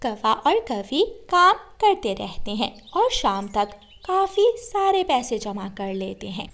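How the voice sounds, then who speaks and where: A woman with a high voice serves as storyteller. She delivers scripted narration for a children's cartoon tale, telling it line by line. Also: sing-song, takes it slowly, fairly bright tone.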